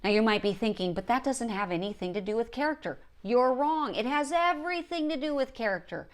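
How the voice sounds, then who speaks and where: Higher-voiced woman, emphatic writing coach. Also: animated, a little fast. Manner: emphatic, direct, repeats points for stress. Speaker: writing coach and show host. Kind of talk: instructional talk to camera.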